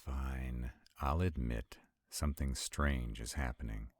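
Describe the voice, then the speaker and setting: A man's voice: very deep voice, steady tone, slow, heavily acted. A man playing an arrogant, skeptical and protective vampire in a roleplay audio drama, haughty and commanding. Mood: tense, reluctant. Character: arrogant, skeptical, protective male vampire, irritable under strain.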